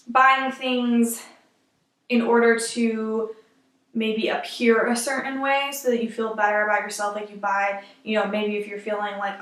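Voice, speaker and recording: A woman's voice: medium pitch, fairly bright tone. A young woman vlogging at home in casual chat, rambling with lots of "like" and fillers, a little sniffly from allergies. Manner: rambling, filler-heavy, frequent "like". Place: room at home. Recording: echoey room, camera a bit far.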